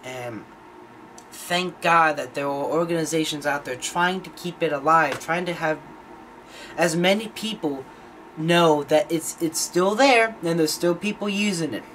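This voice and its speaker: A man's voice: on the high side, a little slow, a bit bright, a little hiss or hum, poor audio. Casual man talking off the cuff. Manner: informal, opinionated, mildly swearing. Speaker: shortwave radio hobbyist host. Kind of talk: casual, unscripted monologue.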